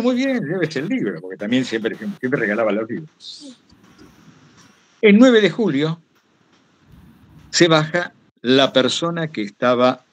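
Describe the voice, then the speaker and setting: A man's voice: mid-range voice, husky, low-quality recording. A man with a Rioplatense Argentine accent, telling anecdotes casually in a digressive way and acting out what people said. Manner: digressive, acts out quoted remarks. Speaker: guest storyteller giving a talk.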